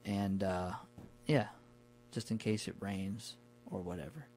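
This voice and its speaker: A man talking casually and colloquially, telling stories in a relaxed conversational podcast style. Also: on the low side, slow, slight background noise. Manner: informal, colloquial. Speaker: podcast guest recounting his camping trips.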